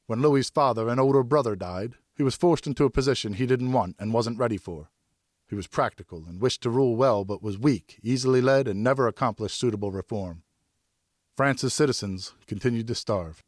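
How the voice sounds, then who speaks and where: A man reading scripted first-person literary narration in a measured, carefully enunciated storytelling manner. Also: mid-range voice, no echo, studio quality. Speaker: audiobook narrator, voice actor.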